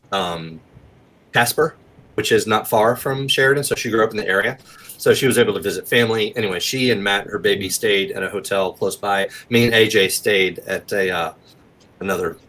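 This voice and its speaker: A man's voice: lowish voice, a bit bright. A man talking as an actor guest in a casual podcast interview, with an informal, enthusiastic manner.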